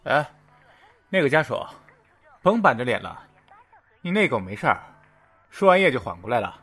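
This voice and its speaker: A man's voice: medium pitch, sing-song, moderate pace, fairly bright tone, breathy edge, heavily acted. An actor playing a brusque, unbothered male veterinarian in an audio drama, curt and teasing. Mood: offhand, teasing. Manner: offhand, curt, mocking. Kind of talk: modern audio drama acting.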